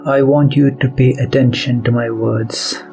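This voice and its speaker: A man leading a guided meditation as its host, speaking softly and deliberately in short phrases with pauses between them. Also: medium pitch, level tone, vocal fry. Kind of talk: guided meditation, soft spoken instruction. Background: background music.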